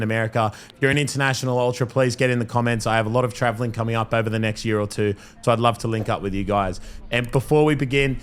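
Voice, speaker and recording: A man with an Australian accent, hoarse from losing his voice, chatting through a match recap to his viewers in an upbeat voice-over. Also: mid-range voice. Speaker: club vlogger and coach.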